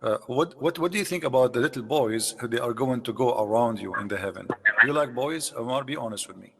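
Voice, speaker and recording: A man's voice: medium pitch, brisk, vocal fry, long reverb tail, heavy background noise. A man whose first language is Arabic, hosting a debate and pressing his opponent with mocking questions. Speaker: debate host. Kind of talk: live debate call.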